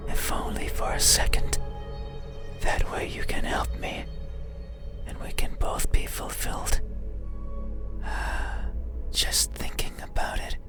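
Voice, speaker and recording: Whispered creature voice acted by a performer, slow and halting, lonely, yearning, intimate ASMR horror game, music behind. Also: lightly acted. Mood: wistful, uncertain. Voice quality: breathy unvoiced whisper. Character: eerie wordless monster whispering by a bedside, lonely, yearning.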